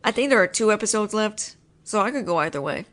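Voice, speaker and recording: A woman's voice: mid-range voice, lively intonation, fast, fairly bright tone. A woman acting out a one-sided audio roleplay as a frustrated, possessive roommate talking straight to the listener. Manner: frustrated, insistent, teasing. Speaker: voice actress playing a possessive roommate.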